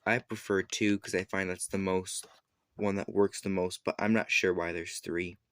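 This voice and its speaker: A man giving a casual, unscripted product demonstration, explaining things haltingly and correcting himself as he goes. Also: low-pitched, fairly even intonation, fairly bright tone, no echo. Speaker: product reviewer.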